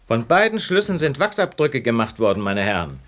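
An actor playing a brilliant, superior detective in a German radio play, articulate and lecturing in a theatrical way. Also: male voice, medium pitch, big pitch swings, brisk, a bit bright. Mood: brisk, assured. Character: brilliant, self-assured male detective, precise and superior.

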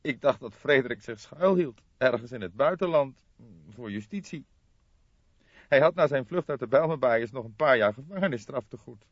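Dull, close audiobook sound carries a nervous, distraught man, acted out by a male narrator. His pitch is lifted well above the reader's and swings widely as he pleads his case under questioning. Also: expressive, normal speed, no room sound, close to an everyday voice. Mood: anxious. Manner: shocked, sobbing, lisping outbursts. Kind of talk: audiobook character voice.

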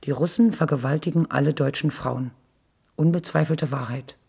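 A woman reading scripted literary prose as a narrator, with a raspy voice. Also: deep voice, moderate pace, warm tone.

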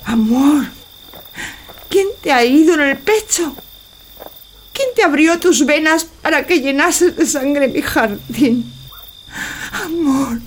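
Woman playing slow, high-voiced passionate young wife Belisa. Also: a little hiss or hum. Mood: anguished. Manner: slow, lyrical, poetic declamation. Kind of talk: theatrical Spanish radio drama acting.

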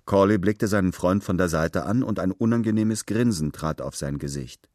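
A man's voice: low voice, moderate pace, a little mellow, no room sound, clean studio sound. A man reading scripted literary prose as a narrator. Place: dry studio.

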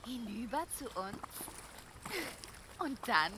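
An actress playing a younger river nymph sister, curious and carefree, in a fantasy radio play. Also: female voice, fairly high pitch, lively intonation, slow, pushed and bright, heavily acted. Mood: dreamy. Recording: music behind the voice.

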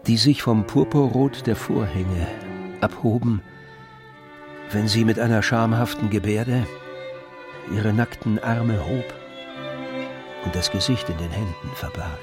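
A man narrating a literary radio drama in measured, deliberate phrases. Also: on the low side, music in the background.

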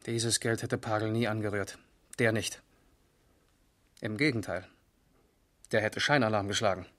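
A police investigator in a radio crime play. His voice is fairly low, and he talks in short, emphatic phrases, matter-of-fact yet exasperated. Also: steady tone, a little slow, fairly bright tone. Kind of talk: radio crime drama acting, dialogue.

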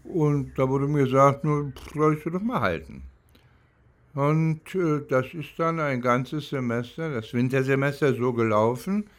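An older man from East Germany, a former professor, recalling his life in an interview in a reflective, halting way as he searches for words. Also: mid-range voice.

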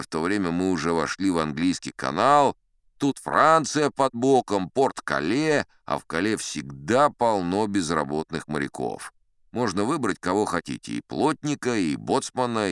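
A boastful old sea captain in an audiobook drama, with a low, resonant voice that swoops expressively. He reasons pompously through absurd yarns with a straight-faced seriousness and theatrical pauses. The sound is dry and close. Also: clean tone, dry recording, heavily acted.

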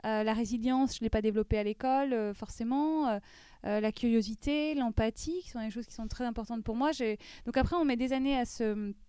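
A woman's voice: fairly high pitch, a little fast, mellow, smooth, no room sound. A woman in her thirties telling a personal story as an interview guest, hesitant with frequent restarts and fillers.

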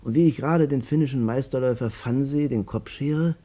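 An actor playing a worn-down, plain-spoken barber in a German radio play, colloquial, emphatic and wry. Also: male voice, mid-range voice, fairly quick, mellow, lightly acted. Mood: wry, animated. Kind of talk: German radio play acting, narration and dialogue.

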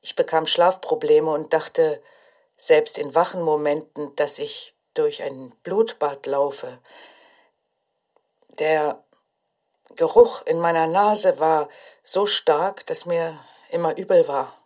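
An actress playing a mother who survived prison, giving sombre, measured testimony in an audio drama. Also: sits low, darkish tone. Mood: grave, haunted.

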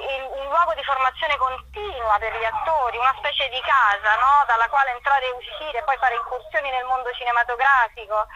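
A woman's voice: on the high side, pushed and bright, low-quality recording. A woman being interviewed as a playwright, explaining spontaneously, searching for words and repeating them for emphasis.

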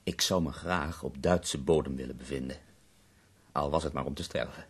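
An actor in a radio drama playing an ailing, bitterly ironic bedridden poet, weary and wryly self-mocking. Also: male voice, extremely low pitch, moderate pace, slightly rough, heavily acted.

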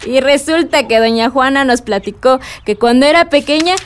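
A woman's voice: high-pitched, normal speed, clean tone, lightly acted. A woman playing a cheerful, enthusiastic village organizer with a chatty, exclamatory manner in a radio drama. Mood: teasing, amused.

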